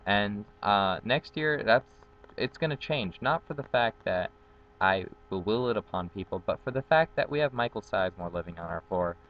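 A man's voice: fairly low pitch, expressive, normal speed, highs cut off, a little hiss or hum. A young man from Guatemala, a native Spanish speaker, introducing himself in a casual, upbeat vlog full of ums, filmed close on a webcam outdoors. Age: young adult. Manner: chatty, upbeat, many ums. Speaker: college dorm community facilitator. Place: outdoors on a patio with trees. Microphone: built-in webcam mic, close framing.

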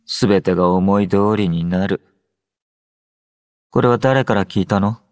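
An actor playing a drowsy, low-energy young male android soldier in an anime game, aloof yet gentle, with a languid, understated delivery. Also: a little slow, no echo, studio quality, close to an everyday voice. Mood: dry, unhurried. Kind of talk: Japanese anime game character voice lines.

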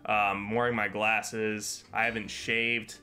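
A man's voice: fairly low pitch, flat delivery, slow pace, pushed and bright, clean tone. A young man with a stuffy, congested voice from a cold, chatting casually and swearing while streaming a game from his bedroom on a headset. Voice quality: sweary, rambling. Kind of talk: casual gaming commentary. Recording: game music behind.

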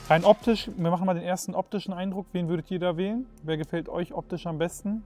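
Young guy hosting a football panel, kinda high voice, joking around, faint music underneath. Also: fairly even intonation, fast, a little mellow. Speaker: host. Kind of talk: casual panel chat. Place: indoor football hall. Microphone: lapel mic.

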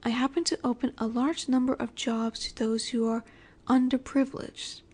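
A woman performs a female mafia boss with a distinctly high voice. She is coy and self-assured, speaking deliberately to the listener in an audio roleplay. Menace sits under her flirtatious, teasing manner. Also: steady tone, a little mellow, natural voice. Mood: composed, sly.

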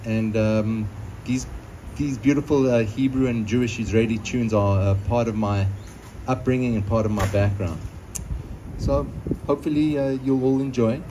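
Halting South African man addressing an audience. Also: medium pitch, background noise. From Johannesburg, South Africa. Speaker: singer-guitarist. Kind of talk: addressing an audience between songs. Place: outdoors under a canopy in a concrete yard. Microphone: stage microphone through a PA, filmed from a few metres.

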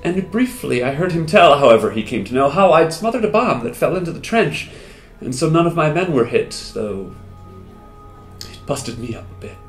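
A man playing a wistful wounded soldier, roomy sound. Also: a little high. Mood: melancholy. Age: 30s. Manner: theatrical, halting, with long expressive pauses. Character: wounded Canadian soldier, disfigured, wistful, lovestruck, grateful. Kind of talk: dramatic poetry recital, first-person monologue. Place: small indoor room with hard walls. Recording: roomy echo, music behind.

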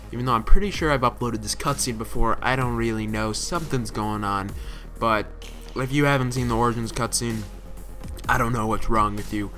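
Man, mid-pitched voice, gaming countdown host, casual commentary, background music. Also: a bit bright. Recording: music under the voice.

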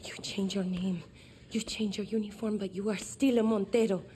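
A woman's voice: medium pitch, fairly even intonation, normal speed, dark tone, slight background noise, close to an everyday voice. An actress playing a tough, defiant young female guerrilla leader with a Latin American Spanish accent in a game cutscene. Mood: stern, pleading. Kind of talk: dramatic video game cutscene acting.

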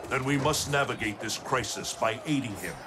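A man playing a calm, noble guardian leader in a video game, speaking formally and with composure. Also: medium pitch, moderate pace, heavy background noise, lightly acted. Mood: resolute. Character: calm, noble male guardian leading his allies. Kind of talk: dramatic video game cutscene acting. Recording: loud music over the voice.

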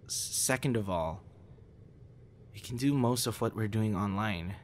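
A young man acting a busy, guarded friend in an intimate audio roleplay, with halting, stammering delivery. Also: fairly low pitch, slight background noise, natural voice. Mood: curt, guarded.

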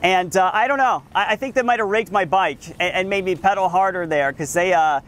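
A man's voice: high voice, a little fast, a bit bright. A man in his thirties doing a live outdoor news report in front of a school, upbeat and chatty, on a lapel mic. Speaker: field reporter.

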